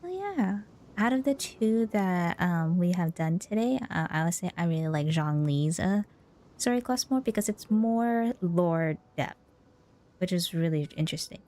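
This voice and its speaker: A woman's voice: fairly low pitch, expressive, unhurried, dark tone. A woman doing casual livestream commentary as a game streamer, reacting in an informal, rambling way. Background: game music.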